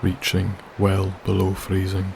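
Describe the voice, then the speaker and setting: A man narrates a sleep story in a soft, soothing voice, low and nearly flat in pitch. He speaks very slowly, leaving long pauses between phrases. A steady background hiss sits under his voice. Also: gravelly. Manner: slow, soothing, gentle delivery with long pauses. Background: wilderness ambience.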